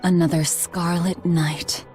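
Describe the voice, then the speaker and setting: A woman's voice: on the low side, flat delivery, slow pace, a little mellow, faint music behind. A woman voicing a grim, vengeful young woman who leads her band with cold, clipped commands in a game cutscene. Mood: cold, commanding. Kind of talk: video game cutscene voice acting.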